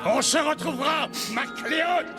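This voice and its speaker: High-pitched theatrical cartoon adventurer. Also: male voice, unhurried, loud music behind the voice. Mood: menacing. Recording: loud score over cartoon dialogue.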